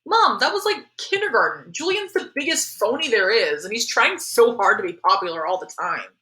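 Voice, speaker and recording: Storybook role: blunt schoolboy, voiced by a woman, forceful bright tone, exasperated, slangy, argumentative. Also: fairly high pitch, very animated, moderate pace, voice pushed up. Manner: casual kid slang, argumentative. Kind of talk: audiobook character dialogue.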